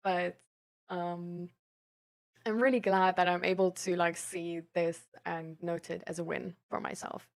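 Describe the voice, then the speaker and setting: A woman's voice: lowish voice, flat delivery, unhurried. A young adult woman chatting casually as a live streamer, explaining things in an informal, rambling way with lots of filler tags. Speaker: live streamer talking with chat viewers. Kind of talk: casual livestream chat about mental health and self-improvement.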